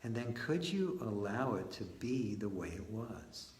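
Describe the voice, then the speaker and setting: A man with a fairly low voice leads a guided meditation in a roomy, echoing space. He speaks very slowly, offering short, gentle invitations as questions and leaving long pauses between them. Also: very animated. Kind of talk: guided meditation led live, slow and spoken in short phrases. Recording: roomy echo with faint background noise.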